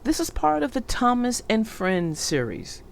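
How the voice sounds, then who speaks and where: Slow, gravelly woman reading aloud. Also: a little high. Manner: animated storytelling. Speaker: storybook narrator. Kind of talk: children's read-aloud.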